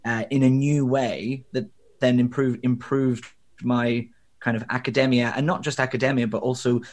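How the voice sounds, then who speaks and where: A man's voice: medium pitch, level tone, moderate pace, darkish tone. A young man from Northern Ireland with a faint, diluted Northern Irish accent, a theatre director talking reflectively in an interview, with plenty of fillers and restarts. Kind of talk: conversational interview.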